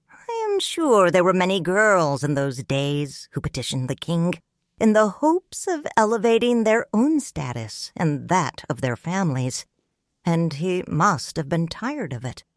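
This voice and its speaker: A woman narrating a story in first person, calm and reflective. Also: low voice, no room sound, studio quality.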